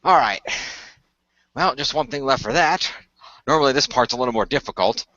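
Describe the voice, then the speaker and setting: A man narrating an action radio play in a halting, improvised way, sometimes correcting himself. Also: mid-range voice, animated, bright, forceful tone, dry recording, lo-fi sound. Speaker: radio play creator and performer.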